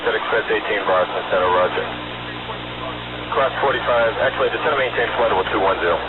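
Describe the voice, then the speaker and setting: Fast-talking male air traffic controller on noisy radio. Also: fairly low pitch. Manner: businesslike, procedural phrasing. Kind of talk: rapid clipped radio instructions. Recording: noisy narrowband aviation radio recording. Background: radio static and noise.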